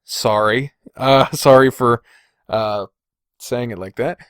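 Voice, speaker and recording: A man's voice: medium pitch, takes it slowly, fairly bright tone, clear, resonant tone, dry recording, studio quality. A man hosting a podcast, chatting informally and swearing freely as he reads and reacts to comments. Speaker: podcast host. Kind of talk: casual chat, reading and reacting to viewer comments.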